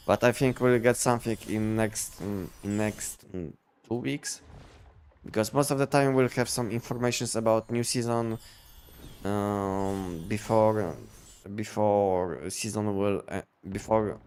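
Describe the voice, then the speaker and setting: A man chatting casually on a game livestream, explaining things in a halting, repetitive way. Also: lowish voice, darkish tone, clean tone. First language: not English. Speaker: game live streamer.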